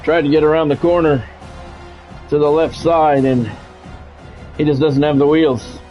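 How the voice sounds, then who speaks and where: Higher-voiced American man calling football, lo-fi mic. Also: flat delivery, a little slow. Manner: radio-style sportscaster calls in short clipped phrases. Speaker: livestreamer doing play-by-play. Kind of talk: live football video game commentary mixed with casual chat to viewers. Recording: dull lo-fi mic with background music. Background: music.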